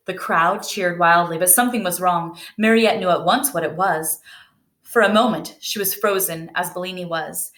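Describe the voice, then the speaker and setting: A woman's voice: on the low side, fairly bright tone. Woman reading a storybook in echoey room. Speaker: storybook reader. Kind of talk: expressive read-aloud narration for children. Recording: roomy indoor echo.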